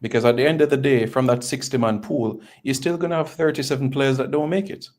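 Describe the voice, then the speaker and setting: A man's voice: medium pitch, steady tone, quick pace, darkish tone. A man from Jamaica, a regular football analyst on a talk show, answering in a firm, matter-of-fact way. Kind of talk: sports talk show discussion.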